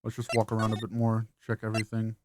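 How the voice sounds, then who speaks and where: A man's voice: fairly low pitch, monotone, fast talker, music in the background, pitched below the performer's own voice, close to an everyday voice. Man reading earnest, wry young defense lawyer. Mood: wry, musing. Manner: dry inner asides, flustered stammers when startled.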